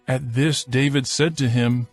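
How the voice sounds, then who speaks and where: A man narrating scripture from a script in a solemn, measured storytelling manner. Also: medium pitch, steady tone, no echo, faint music behind.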